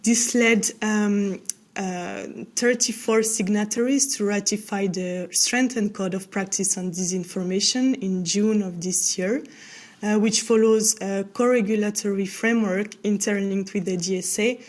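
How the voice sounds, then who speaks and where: A woman in a mid range voice presents study findings to a parliamentary committee. Her delivery is steady and formal, with hesitant fillers between phrases. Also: medium pitch, measured pace.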